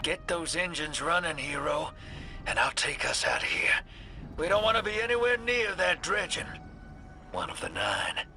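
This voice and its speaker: An actor playing a tough, roguish getaway pilot in a sci-fi video game. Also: male voice, fairly high pitch, very animated, normal speed, gravelly, bright, forceful tone, faint music behind, exaggerated voice. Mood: urgent, ominous. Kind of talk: video game character dialogue.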